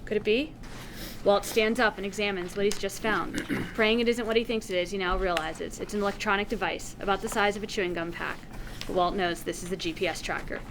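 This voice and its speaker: A woman's voice: medium pitch, fairly even intonation, fairly quick, background noise. A woman reading screen directions as dramatic scripted narration at a table read.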